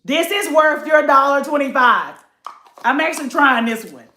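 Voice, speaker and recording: A woman in her late thirties with a fairly high voice talks viewers through a budget beauty haul. She chats casually and calls them y'all. The sound is roomy and echoey. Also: normal speed, a little hiss or hum. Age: late 30s. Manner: chatty, animated, addresses viewers as y'all. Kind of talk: casual haul chat to camera.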